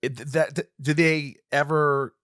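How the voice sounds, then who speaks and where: A man's voice: fairly high pitch, steady tone, unhurried, fairly bright tone, smooth, no room sound, studio quality. A man hosting a casual conversational interview, asking questions and musing aloud. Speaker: podcast host, interviewer.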